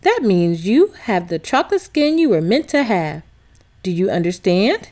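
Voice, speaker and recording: A woman reads as a gentle mother in a children's storybook. She is affectionate and reassuring, explaining things with patience. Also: medium pitch, sing-song, moderate pace, voice pushed down, close to an everyday voice. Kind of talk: children's storybook read-aloud.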